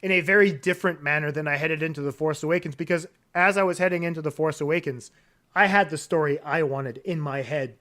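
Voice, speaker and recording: Higher-voiced man hosting a casual podcast. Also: fast talker, pushed and bright. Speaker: podcast host. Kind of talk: casual film podcast chat.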